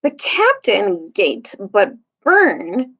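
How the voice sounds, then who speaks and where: A woman leading a casual storytime as a teacher, speaking haltingly, searching for her words and correcting herself. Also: mid-range voice, wildly swooping pitch, a bit bright, husky. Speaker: storytime teacher reading aloud. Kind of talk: casual recap and storybook read-aloud. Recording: dull lo-fi recording.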